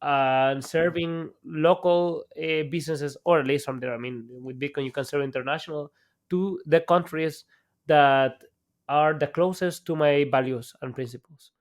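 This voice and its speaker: A man's voice: fairly high pitch, steady tone, moderate pace. A man from El Salvador, a guest entrepreneur, talking casually in an interview with many fillers and restarts.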